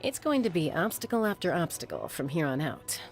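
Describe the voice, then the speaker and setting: A woman playing a calm, steady, knowledgeable warrior in an anime game, composed and matter-of-fact. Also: lowish voice, fairly quick, faint music behind. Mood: composed, confident.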